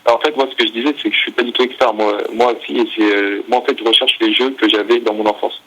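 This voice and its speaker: A young adult man speaking politely and haltingly on a phone call, apologising and repeating himself as he negotiates a purchase. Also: mid-range voice, steady tone, sharp tone. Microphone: telephone. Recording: telephone line with faint noise.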